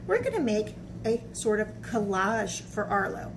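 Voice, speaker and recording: A woman's voice: mid-range voice, big pitch swings, a little slow, noisy background. A woman teaching young children in a read-aloud and craft lesson style, explaining things simply and often checking in with little questions. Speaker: children's art teacher and storybook reader.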